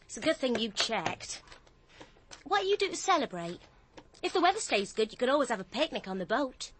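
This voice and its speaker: An actress playing a nervous, gullible English office clerk with a flustered, colloquial manner in a radio drama. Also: female voice, a little high, sing-song, a little fast, background noise. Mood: chatty.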